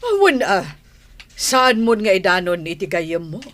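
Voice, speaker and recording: A woman's voice: medium pitch, sing-song, unhurried. A woman playing a gossipy, disapproving but sympathetic village confidante in a radio comedy drama. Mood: teasing, sympathetic.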